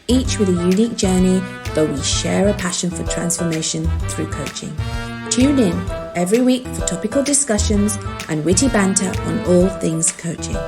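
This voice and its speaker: A woman reading a scripted, upbeat podcast introduction in a polished, steady delivery. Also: fairly low pitch. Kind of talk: scripted promotional narration. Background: intro music.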